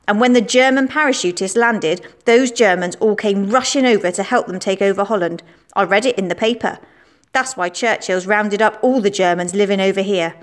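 A woman's voice: mid-range voice, natural voice. A woman voicing a spiteful schoolboy who taunts and accuses a classmate. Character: boastful spiteful schoolboy bully.

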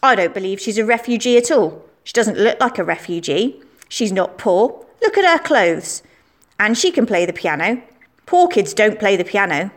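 A woman's voice: mid-range voice, lively intonation, normal speed, lightly acted. A woman voicing a spiteful schoolboy who taunts and accuses a classmate. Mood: accusing. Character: boastful spiteful schoolboy bully.

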